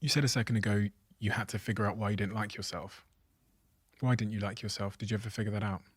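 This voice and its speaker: A man hosting an interview, asking calm, probing follow-up questions. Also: fairly low pitch, fast, husky, dry recording, clean studio sound. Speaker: podcast host.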